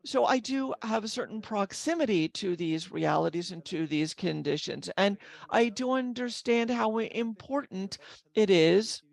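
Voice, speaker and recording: Woman interpreter with a gravelly edge. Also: mid-range voice, unhurried. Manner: steady delivery with brief restarts and self-corrections. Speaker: simultaneous interpreter. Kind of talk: live interpretation of a panel speech.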